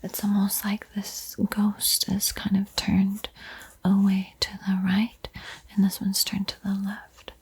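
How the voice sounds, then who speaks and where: A woman hosting an ASMR art tutorial in a soft, breathy whisper, gentle and unhurried, with frequent pauses. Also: mid-range voice, completely flat delivery. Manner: slow, gentle, pausing often.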